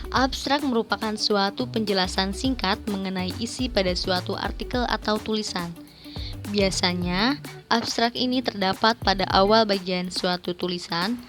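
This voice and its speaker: Young female student presenter, medium pitch, formal scripted read with careful diction. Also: a bit bright. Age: young adult. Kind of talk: scripted presentation narration, reading a paper aloud. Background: background music.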